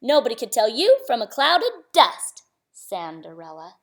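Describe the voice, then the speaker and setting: A woman's voice: a little high, huge pitch swings, normal speed. A woman voicing a rude, mocking young stepsister with a sneering, taunting manner.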